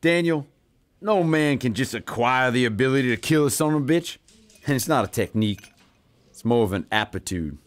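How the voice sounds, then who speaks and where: A man's voice: medium pitch, animated, moderate pace, lightly acted. Man playing a cocky, drawling Texas sheriff. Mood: dry, authoritative. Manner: folksy, domineering, swearing. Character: gruff, cocky Texas sheriff in the Old West. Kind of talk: western audio drama acting.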